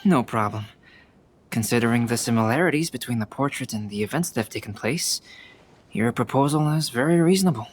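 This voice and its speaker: An actor playing a calm, reflective young male scholar and artist in a video game, reserved and precise. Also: medium pitch, a little fast, close to an everyday voice. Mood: calm, thoughtful.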